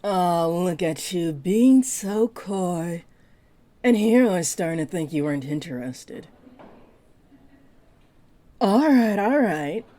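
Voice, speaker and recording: A woman's voice: lowish voice, expressive, takes it slowly, long pauses, warm tone. A woman voicing a flirty, possessive half-dragon librarian in a roleplay audio, speaking in a coy, playful drawl. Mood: flirty, probing. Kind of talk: roleplay audio acting addressed to the listener.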